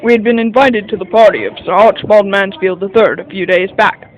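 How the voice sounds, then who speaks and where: High-voiced man playing a detective's sidekick, radio mystery. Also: steady tone, a little fast, natural voice, poor audio. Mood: measured recounting. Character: 1940 American detective's sidekick, narrator recounting a case. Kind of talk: scripted first-person radio mystery narration.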